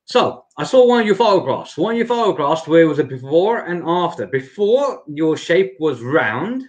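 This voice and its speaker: A man's voice: a little high, big pitch swings, moderate pace, poor audio. A man hosting a casual livestream interview, talking loosely and repeating words as he thinks. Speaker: host.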